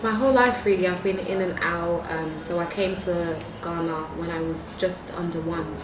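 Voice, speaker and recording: A woman's voice: fairly low pitch, steady tone, moderate pace. A woman from London with a London accent, answering interview questions conversationally, recorded with echo and noise. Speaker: interviewee, youth organisation founder and teacher. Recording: echoey, distant mic, noisy background.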